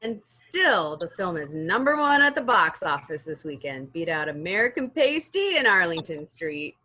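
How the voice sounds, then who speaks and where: A woman's voice: fairly low pitch, sing-song, moderate pace, pushed and bright, full character voice. A woman playing an outspoken young film actress in an amateur table reading of a film script. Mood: frank, worried.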